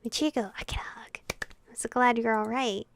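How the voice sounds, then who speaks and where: Woman voicing a soft, anxious young male vampire. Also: on the high side, steady tone, normal speed, gravelly. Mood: relieved, tender.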